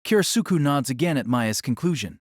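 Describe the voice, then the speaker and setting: A man's voice: mid-range voice, lively intonation, fairly quick, dry recording, studio quality. A man reading scripted narration for an audio drama adaptation of a novel.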